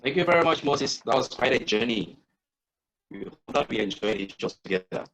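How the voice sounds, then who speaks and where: A man's voice: medium pitch, animated, fairly quick, fairly bright tone. A man hosting an online panel, introducing each guest in a hesitant way with restarts. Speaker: host, moderator.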